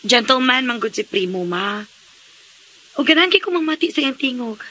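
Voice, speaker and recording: A bright, sharp-toned teenage girl in a Filipino radio drama. She has a Visayan accent and a girlish, cheeky way of talking, dreamy with young love. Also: on the high side, lively intonation, moderate pace, breathy edge, heavily acted. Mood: lovestruck.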